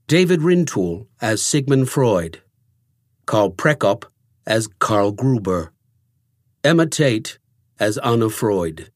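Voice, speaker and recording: A male announcer reads scripted credits at a slow, deliberate pace. His mid-pitched voice sits in a dry, close recording. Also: no echo.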